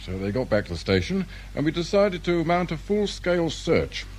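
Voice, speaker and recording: An actor playing a calm, methodical British detective inspector in a radio drama, measured and politely persistent. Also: male voice, a little high, a little hiss or hum. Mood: composed, official.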